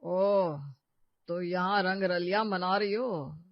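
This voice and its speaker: A woman voicing an angry, suspicious husband who scolds and accuses his wife in an animated storybook. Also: fairly low pitch, slow, no echo. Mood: accusing. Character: angry abusive husband, grown man, suspicious and harsh. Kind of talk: cartoon story voice acting. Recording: dull lo-fi dry recording.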